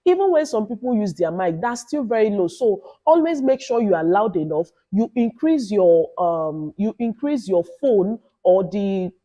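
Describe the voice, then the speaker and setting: A woman with a Nigerian accent talks to viewers in a loud but mellow, dark-toned voice at a medium pitch. She gives tips in an informal vlog style, stressing her points by repeating them and checking in with short tag questions. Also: big pitch swings, normal speed, hardly any pauses, muffled recording. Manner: emphatic, instructive, repeats phrases and adds "okay?". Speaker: YouTuber giving advice to new creators. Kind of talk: informal advice vlog with Nigerian Pidgin asides.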